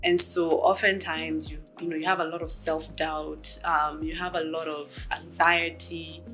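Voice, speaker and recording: Woman, from Kenya, thirties, measured and reflective, bright tone, faint background music. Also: sits low, little pitch movement. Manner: reflective, measured, frequent ums. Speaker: startup executive. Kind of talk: interview answers.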